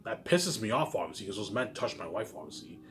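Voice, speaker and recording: A man in an unscripted, repetitive and halting monologue to viewers, sounding aggrieved and letting phrases trail off quietly. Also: lowish voice, sing-song, slight background noise. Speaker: online vlogger.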